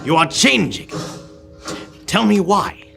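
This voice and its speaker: A man's voice: high-pitched, very animated, takes it slowly, vocal fry, fairly bright tone, faint music behind, full character voice. A young man acting a grumpy, fearful old miserly businessman in an old-time radio drama. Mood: uneasy. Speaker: student actor.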